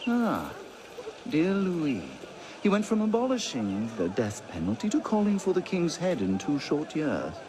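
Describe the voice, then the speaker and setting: A man's voice: fairly high pitch, wildly swooping pitch, measured pace. Brief: an amused, corrupt nobleman, wildly swinging mocking drawl, noisy game mix. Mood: sly. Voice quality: arch, theatrical, mocking drawl. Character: witty decadent aristocratic nobleman, middle-aged.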